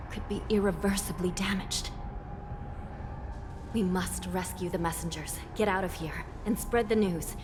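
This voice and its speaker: A woman playing a weary, composed young official in a video game, measured and deadpan. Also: fairly low pitch, background noise, lightly acted. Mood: grave, resolute.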